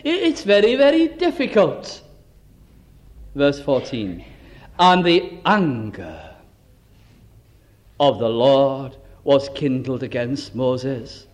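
A man's voice: mid-range voice, very animated, takes it slowly, long pauses. A man preaching a Bible-teaching sermon, reading old scripture aloud and then explaining it in plain, chatty asides.

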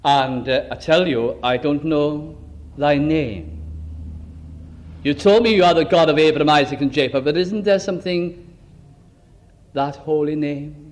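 A man preaching who voices an anxious, reluctant prophet, pleading in a low, quiet, hesitant way. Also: measured pace, long pauses, natural voice.

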